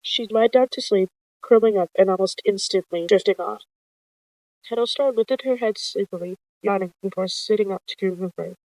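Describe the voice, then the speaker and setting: A storyteller reads roleplay narration aloud at a middling pitch. The sound is close and dry, and the reading has occasional stumbles that are quickly corrected. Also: a little mellow, no room sound, poor audio. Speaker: narrator of an online warrior cats roleplay video. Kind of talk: reading out text roleplay, narration and character dialogue.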